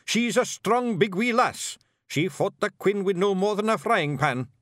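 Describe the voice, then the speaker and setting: A man voicing a tiny, commanding male fairy-folk leader with a forceful Scottish accent in a storybook. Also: sits high, very animated, normal speed, dry recording, clean studio sound, voice pushed up. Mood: fierce. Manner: forceful, urgent, plain-spoken. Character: tiny gruff male Feegle leader, commanding, fiercely protective.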